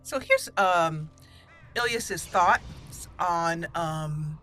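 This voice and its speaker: A woman's voice: fairly low pitch, drawn-out words, a bit bright, a little hiss or hum. A woman giving casual, chatty commentary as she plays and roleplays a game, talking to the characters. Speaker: host of a roleplay game playthrough.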